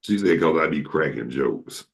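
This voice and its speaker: Very deep, gravelly man. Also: animated, moderate pace. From Atlanta, Georgia. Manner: joking, slips into comic impressions. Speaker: show host. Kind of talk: casual storytelling chat.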